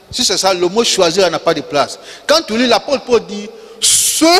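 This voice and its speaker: A male pastor preaching a sermon in French, which is not his first language, with call-and-response lines, repeated key words and rising shouts. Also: high-pitched. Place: church. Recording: long reverb of a church hall.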